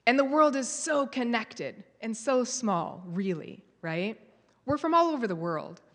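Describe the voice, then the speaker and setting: Lively woman speaker in a big hall. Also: on the high side, unhurried. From United States. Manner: lively storyteller, quizzes the audience. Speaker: conference speaker. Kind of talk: live conference talk, audience interaction. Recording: long hall reverb.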